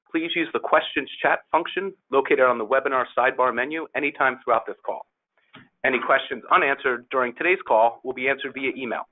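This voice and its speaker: A man formally reading a scripted introduction as host of an investor conference call, presenting the speakers. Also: medium pitch. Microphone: phone or webinar call. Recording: narrow tinny phone line.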